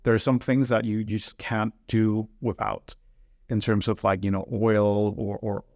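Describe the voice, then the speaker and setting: Earnest Ecuadorian man, lowish voice. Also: flat delivery, unhurried, darkish tone, no echo, studio quality. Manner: earnest, hesitant with repeated words. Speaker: guest, environment director. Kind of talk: casual interview conversation.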